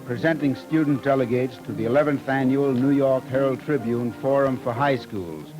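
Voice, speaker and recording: Male 1950s announcer; formal, slow, muffled broadcast over music. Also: mid-range voice. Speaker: program announcer.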